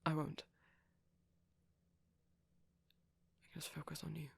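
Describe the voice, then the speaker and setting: A woman voicing a shy, gentle young male vampire boyfriend in an ASMR roleplay, speaking breathily and hesitantly with small stammers. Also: low-pitched, monotone, very fast, creaky, breathy edge, heavily acted. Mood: nervous, tender. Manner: hesitant, stammering, softly whispery. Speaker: audio roleplay performer.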